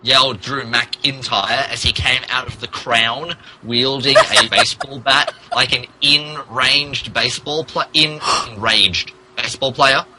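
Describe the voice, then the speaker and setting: A man's voice: mid-range voice, fairly even intonation, a little slow, few pauses, noisy background. A man reading fan fiction aloud in a mock-dramatic style, poking fun at the mistakes in the text as he goes. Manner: stumbles over and mocks the text's typos. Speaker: podcast host reading aloud.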